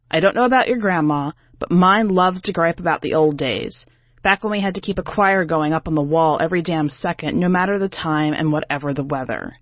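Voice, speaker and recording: A woman's voice: lowish voice, dry recording, lo-fi sound. A woman reading a first-person story as a brash, sardonic singer, in a slangy, emphatic, conversational way. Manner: sassy, slangy, irreverent, emphatic. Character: brash, sardonic urban woman singer, self-declared diva, telling her tale.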